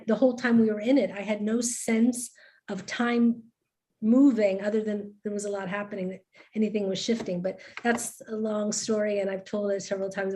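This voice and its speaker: Woman host, chatty and animated, quick restarts. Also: medium pitch. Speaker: webinar host. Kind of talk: casual webinar chat.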